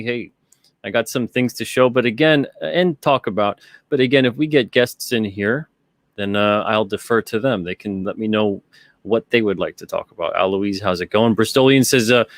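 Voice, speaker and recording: A man's voice: mid-range voice, no room sound, clean studio sound. A man hosting a live-stream show, chatting casually in a rambling, repetitive way and reading out comments. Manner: rambling, conversational, repeats himself.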